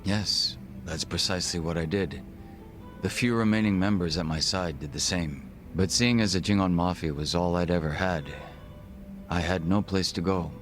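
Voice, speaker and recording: A man voicing a refined, reserved gangster in a video game cutscene, speaking in formal, measured, polite phrases. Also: low-pitched, faint music behind, natural voice. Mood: grave, reflective. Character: refined, reserved Korean gangster, a former body double.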